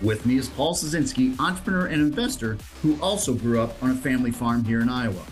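A man's voice: mid-range voice, fast talker, rough recording. Man, podcast host, polished announcer delivery, faint music underneath. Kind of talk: scripted show intro.

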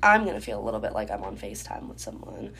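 A woman's voice: very deep voice, sing-song, quick pace, fairly bright tone, a little hiss or hum. A young woman hosting a casual solo podcast, chatting loosely with lots of ums, her voice stuffy and congested from a recent illness. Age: young adult. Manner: chatty, many ums, getting ready while talking. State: congested, stuffy nose, sore throat. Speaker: podcast host.

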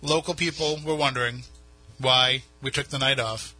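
New england guy hosting late night radio, chatty and rambling, bright raspy voice. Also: medium pitch, fairly even intonation, normal speed, soft background music, poor audio. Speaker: radio show host. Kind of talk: casual radio chat. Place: radio studio. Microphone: studio mic.

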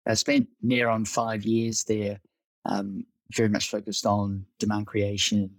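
A man's voice: fairly low pitch, flat delivery, measured pace, no room sound. A middle-aged man with a New Zealand accent, recounting his career conversationally as an interview guest. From Auckland, New Zealand. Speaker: startup COO, interview guest. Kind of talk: conversational account of own career.

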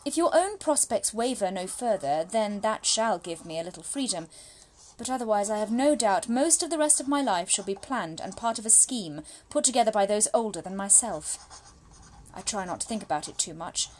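A woman playing an anxious, spirited young Victorian gentlewoman, confiding in her brother through letters in a formal, genteel and expressive dramatic monologue. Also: medium pitch, slight background noise, natural voice.

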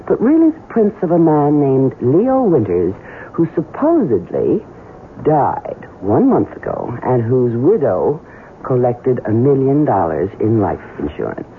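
Casting: cool female accomplice, sardonic and questioning, expressive pitch, noisy 1970s radio drama. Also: unhurried, phone-quality sound, heavily acted. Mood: skeptical. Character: cool, skeptical female partner in a swindle. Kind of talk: old-time radio drama acting.

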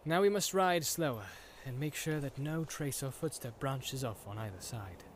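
A man reading an audiobook, voicing a grave, steady male ranger leader who speaks in a measured, solemn way. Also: mid-range voice, a little hiss or hum, lightly acted.

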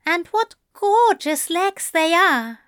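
A woman's voice: extremely high pitch, sing-song, slow pace, a bit bright, no echo, clean studio sound, pitched above the performer's own voice, exaggerated voice. A woman voicing a prim male angel in an audio story, with a coy, genteel, lilting and affectionate manner. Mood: teasing, playful.

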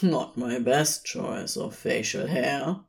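A woman's voice: rumbling low, slow pace, creaky, pitched below the performer's own voice, full character voice. A woman voicing a wry, suspicious male demon with a terse, dry manner in an audiobook story. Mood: wry, thin. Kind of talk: fan fiction audiobook dialogue.

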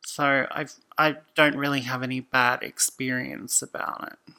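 Bright-voiced woman, gravelly edge. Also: extremely low pitch, flat delivery, a little slow, rough recording. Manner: thoughtful, hesitant restarts. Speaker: podcast guest. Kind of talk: casual conversation.

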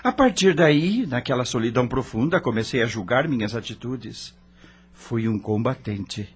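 An actor playing a dead rock star in a radio drama, tormented and regretful, with a solemn, theatrical Brazilian delivery. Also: male voice, mid-range voice, animated, moderate pace, close to an everyday voice. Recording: music under the voice.

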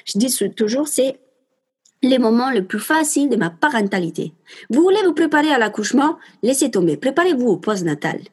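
A woman's voice: on the high side, very animated, brisk, a little mellow, slightly rough. A woman in her twenties with an Italian accent, passionately and emphatically telling listeners about giving birth and speaking to them directly. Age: 20s. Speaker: mother sharing her home birth story. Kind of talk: casual spoken monologue.

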